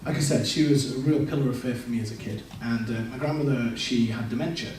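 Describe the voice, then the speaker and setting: A man's voice: medium pitch, quick pace, a little mellow, a little hiss or hum. A young man giving a candid, self-deprecating personal testimony in a church, with frequent restarts. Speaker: church speaker. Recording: echoey church hall, mic far off.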